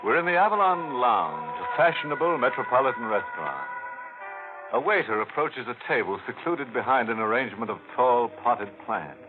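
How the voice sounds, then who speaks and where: A man's voice: mid-range voice, big pitch swings, moderate pace, natural voice. A man playing a gleefully macabre horror storyteller, narrating an old radio show in a sly, theatrical way. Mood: sly, scene-setting. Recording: tinny vintage radio, music behind.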